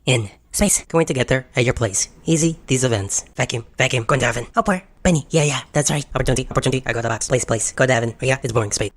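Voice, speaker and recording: A man voicing an excitable robot in a video game parody, babbling in a disjointed, repetitive way. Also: mid-range voice, quick pace, few pauses, no room sound, close to an everyday voice. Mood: excited babbling. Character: excitable, babbling male robot sphere speaking nonsense.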